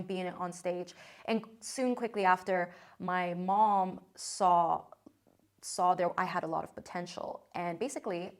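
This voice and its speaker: Upbeat young American-accented woman. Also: on the low side. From China. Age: 20s. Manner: chatty and upbeat, frequent "like" and "um" fillers. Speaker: content creator. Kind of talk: casual vlog talk to camera.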